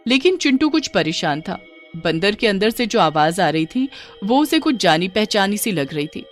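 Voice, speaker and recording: A woman's voice: medium pitch, sing-song, moderate pace, faint music behind. A woman narrating a scripted children's horror story.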